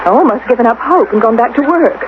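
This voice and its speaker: A woman's voice: on the high side, expressive, fast talker, natural voice. A woman playing a frightened, anxious mother in a radio drama, speaking earnestly and with worry. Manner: earnest, worried. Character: frightened, anxious young mother in forbidden secret. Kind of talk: 1980s radio drama acting. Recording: noisy narrow-band old radio recording.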